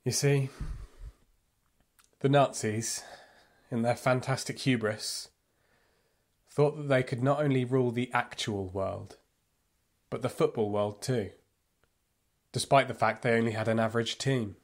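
An actor playing a fervent, bitter football supporter recalling the Nazi era in an audio play monologue, with deliberate pauses. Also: male voice, mid-range voice, fairly even intonation, close to an everyday voice. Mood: grave, bitter. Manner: impassioned storytelling with deliberate pauses. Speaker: narrator. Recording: music behind the voice.

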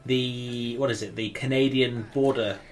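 A man's voice: medium pitch, steady tone, a little slow, a crowd in the background. A man in his thirties, English-born and raised in Wales, co-hosting a game stream with rambling, joking chat. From England, raised in Wales. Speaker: co-host. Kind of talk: casual let's-play chat.

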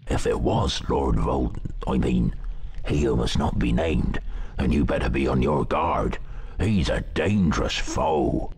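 A man playing a huge, kindly, gruff giant gamekeeper with a West Country accent in a video game, giving folksy cautions. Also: a bass voice, heavily acted. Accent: English West Country. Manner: plain-spoken, cautioning, folksy. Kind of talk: video game character dialogue.

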